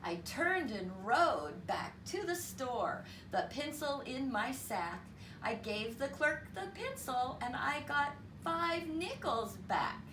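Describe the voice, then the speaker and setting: A woman reading a story aloud to children in an expressive, deliberate, teacherly way. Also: sits high. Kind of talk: expressive read-aloud storytelling with counting lesson. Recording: roomy, distant mic with clear background noise.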